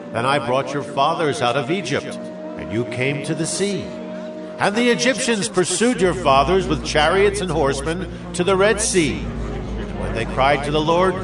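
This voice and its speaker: Solemn aged leader, music behind. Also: male voice, on the high side, recorded from a distance. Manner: solemn, declamatory, emphatic. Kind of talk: dramatized scripture oratory.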